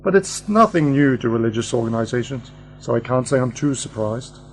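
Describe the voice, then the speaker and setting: A man's voice: medium pitch, expressive, fairly quick, close to an everyday voice. A man playing an eccentric, pedantic time-travelling uncle in an amateur radio play. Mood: wry, lecturing. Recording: noisy, humming home recording.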